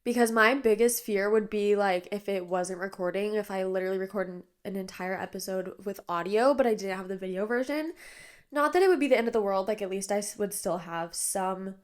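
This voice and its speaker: A woman's voice: medium pitch, a little fast. A young woman in her twenties hosting a podcast from her bedroom, chatting casually, a bit nasal and congested, tired but bubbly, with lots of fillers. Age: 20s. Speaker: podcast host. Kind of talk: casual chat. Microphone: iPad on a tripod.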